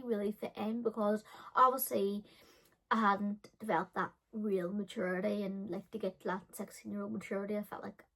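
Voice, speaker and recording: A woman in her thirties talks in a casual vlog storytelling style. She rambles, pads her sentences with fillers and doubles back to correct herself. Her pitch sits in an average range for a woman. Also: poor audio. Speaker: beauty and storytime vlogger.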